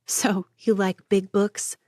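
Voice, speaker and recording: A woman voicing a chatty young American woman in an audiobook, lively and quick to tease. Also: medium pitch, no room sound, clean studio sound. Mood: teasing. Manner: lively, teasing, quick to banter. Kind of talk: audiobook character voice.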